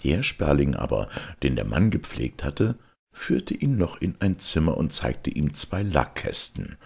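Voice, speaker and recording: A man's voice: rumbling low, sing-song, measured pace, gravelly, slightly rough. A man reading a fairy tale aloud as a storyteller, in scripted narration.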